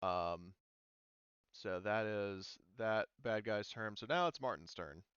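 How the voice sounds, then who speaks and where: A man's voice: on the low side, takes it slowly, a bit bright, clean tone, no room sound, muffled recording. A man running a tabletop roleplaying game as game master, casually explaining rules.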